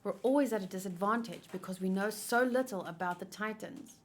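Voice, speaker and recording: A woman's voice: medium pitch, brisk, natural voice. An anxious young soldier from a manga, voiced by a woman, clever but hesitant. He sounds earnest and unsure as a leader. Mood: worried. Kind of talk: manga read-aloud.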